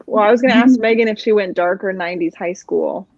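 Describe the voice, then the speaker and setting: A woman's voice: mid-range voice, fast, no room sound. A woman in a casual book chat as co-host, musing and a little wry, recorded on dull call audio. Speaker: co-host of a book readalong livestream. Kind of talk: casual chat about books.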